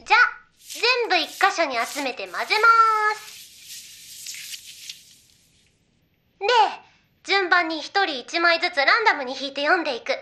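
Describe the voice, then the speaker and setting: A young female idol in an anime audio drama. Her voice is high and forward, with a crisp, bright edge. She chats in a casual, girlish way and explains her ideas persuasively. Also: animated, moderate pace, exaggerated voice. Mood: explanatory. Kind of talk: Japanese anime audio drama, naturalistic banter.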